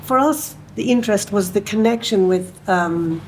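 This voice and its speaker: A woman, speaking on a panel in a thoughtful way, with many pauses and fillers. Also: mid-range voice, a little hiss or hum. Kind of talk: panel discussion.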